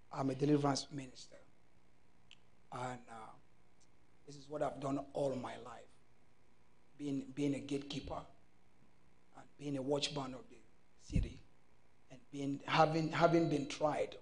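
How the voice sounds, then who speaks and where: Cameroonian man, halting short phrases. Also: mid-range voice, steady tone. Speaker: pastor, talk-show guest. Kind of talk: self-introduction on a panel. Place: indoor TV studio, seated.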